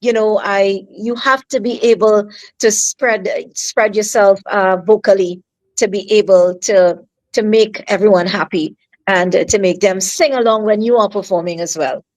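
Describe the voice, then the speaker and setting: Soft-spoken Trinidadian woman singer. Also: medium pitch, fairly even intonation, poor audio. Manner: soft-spoken, halting with fillers and restarts. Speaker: singer guest. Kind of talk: casual interview over a video call.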